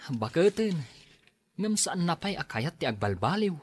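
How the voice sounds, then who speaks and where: A man's voice: fairly high pitch, very animated, unhurried, husky, heavily acted. A man acting an earnest schoolteacher son in an Ilocano radio drama, worried and pleading as he keeps peace.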